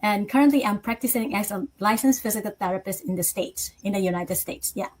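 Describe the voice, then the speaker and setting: A woman from Malaysia hosting a live online interview, polite and measured as she introduces her guests over a video call. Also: medium pitch, darkish tone. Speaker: interview host.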